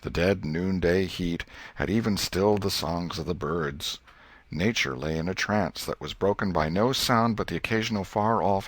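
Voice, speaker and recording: A man reading a literary story as an audiobook narrator, in a measured storyteller manner. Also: deep voice, no echo.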